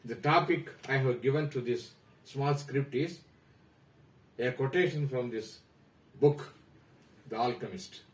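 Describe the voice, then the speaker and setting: A man with an Indian accent, presenting a prepared talk about a novel in a formal, measured way that trails off at the ends of phrases. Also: medium pitch, roomy sound, recorded from a distance, poor audio. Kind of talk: reading a prepared literary talk.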